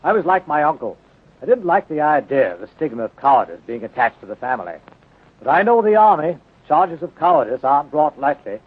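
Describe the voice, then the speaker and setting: Defensive gentleman, husky vintage radio. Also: a little high, sing-song, brisk. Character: well-bred nephew of a colonel, defensive. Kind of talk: 1930s radio drama acting. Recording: muffled tinny 1930s radio recording.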